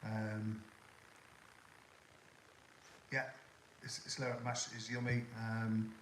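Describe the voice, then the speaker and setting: A man's voice: lowish voice, level tone, a little slow, a bit bright, echoey room, a little hiss or hum, low-quality recording. An older man with a toned-down northwest English accent from the Liverpool area hosts a casual live chat, rambling and stopping often to fill gaps with "um" as he answers viewer questions. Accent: toned-down Northwest English (Scouse). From Liverpool area, England. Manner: rambling and chatty with many ums and restarts. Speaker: livestream host.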